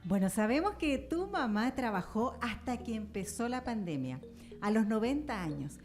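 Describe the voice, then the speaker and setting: Woman, fairly high voice, conversational interviewer. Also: big pitch swings, normal speed, soft background music. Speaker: interviewer, TV host.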